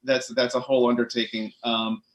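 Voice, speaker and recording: A man from Connecticut, a bookstore owner, telling his story in a relaxed interview chat with easy fillers. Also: medium pitch, a little fast.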